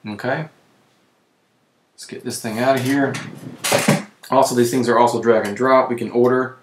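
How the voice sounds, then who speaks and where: A man with a voice that is giving out, who clears his throat often and keeps correcting himself while walking through software on screen. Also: mid-range voice, fairly even intonation, normal speed, roomy sound, background noise. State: losing his voice. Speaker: web tutorial presenter. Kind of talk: screen-recorded software walkthrough.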